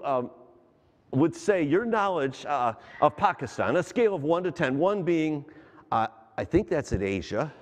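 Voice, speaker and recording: A middle-aged male pastor preaching from a large church stage with an animated delivery, putting questions straight to the congregation. His voice sits at an ordinary middle pitch and carries a long reverb from the hall. The headset mic keeps him up close under that echo. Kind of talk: sermon.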